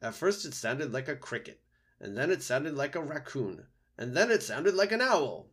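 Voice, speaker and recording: A man's voice: medium pitch, big pitch swings, moderate pace, a bit bright, slightly rough, voice pushed up. A man voicing a self-important, alarmed owl in an animal story, declaiming in a screechy hoot. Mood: anxious, announcing. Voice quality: screechy, hooting. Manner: declaiming, agitated.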